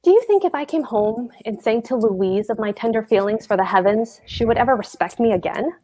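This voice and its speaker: A woman's voice: fairly high pitch, expressive, brisk, dark tone. A woman at a table read playing a pompous, dutiful husband and government clerk who declaims his opinions emphatically. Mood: smug. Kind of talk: cold table read of a stage play.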